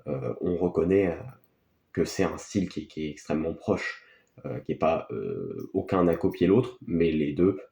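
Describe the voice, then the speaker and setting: A man's voice: rumbling low, lively intonation, normal speed, gravelly, dark tone. A man gives casual, unscripted film commentary as a video host, in a hesitant, rambling, offhand way. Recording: echoey, roomy sound.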